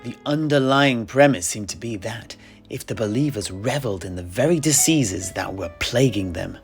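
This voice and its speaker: A man playing a genial tavern keeper and amateur historian in an audio play, telling his tale in a deliberate, theatrical way with scholarly asides. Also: medium pitch, animated, soft background music, natural voice. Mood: grave storytelling. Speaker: storyteller and host of an audio play. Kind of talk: in-universe scripted storytelling to tavern listeners.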